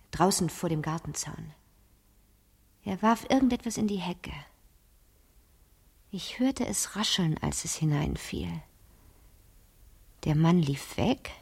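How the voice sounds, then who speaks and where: A scheming teenage girl in a radio drama, with a breathy, husky voice that sits on the low side. She tells her tale deliberately and theatrically, sure of her own cleverness. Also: darkish tone, exaggerated voice. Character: cunning fifteen-year-old girl, imaginative, scheming, self-assured. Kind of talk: 1970s German radio play monologue.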